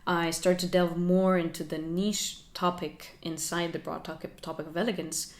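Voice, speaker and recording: A young woman talks through her learning journey for her channel. She is soft-spoken and reflective, with a slightly low voice, and she laughs lightly as she goes. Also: fairly even intonation, normal speed. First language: Finnish. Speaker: channel host. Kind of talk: casual talking-head vlog.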